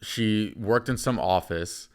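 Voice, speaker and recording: Young guy hosting a chill podcast, kinda low voice, rambly and loose. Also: slow. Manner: loose and chatty, lots of "like", swears. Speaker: podcast host. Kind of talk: casual chat.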